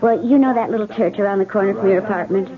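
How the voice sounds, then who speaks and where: An actress playing a cheerful wife hosting a party in an old radio drama. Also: female voice, mid-range voice, quick pace, crowd noise, close to an everyday voice. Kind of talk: 1970s radio drama acting. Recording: tinny lo-fi old radio broadcast.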